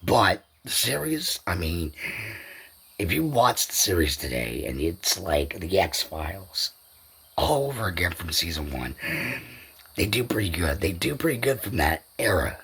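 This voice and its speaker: A man's voice: low-pitched, very animated, measured pace, fairly bright tone, breathy edge. A man hosting a solo podcast, talking informally and off the cuff in a rambling, repetitive way. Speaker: podcast host. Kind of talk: unscripted solo podcast talk.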